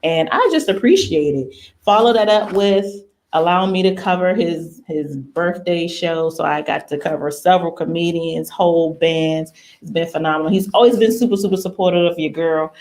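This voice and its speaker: A woman's voice: fairly low pitch, moderate pace, darkish tone. Woman hosting a bawdy, casual talk show. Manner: playful, slangy, informal. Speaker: radio talk show host.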